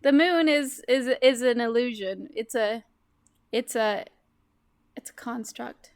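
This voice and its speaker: A woman's voice: fairly high pitch, takes it slowly, smooth, dry recording, studio quality. A woman chatting casually and playfully as a player in a tabletop game.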